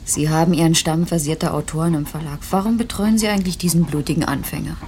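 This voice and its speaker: Woman playing new office colleague, curious n a bit ironic, lowish voice, old radio play, faint noise. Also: quick pace, darkish tone, lightly acted. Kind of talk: 1970s German radio drama acting. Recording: faintly noisy 1970s radio drama, train ambience.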